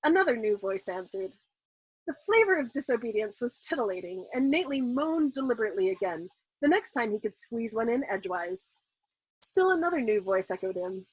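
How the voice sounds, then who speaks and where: A woman narrates a novel with expression, like an audiobook reader. Her voice sits a little high with a husky grain, and it sounds close and dry. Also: big pitch swings, a little fast, dry recording, low-quality recording. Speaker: storyteller reading a novel aloud.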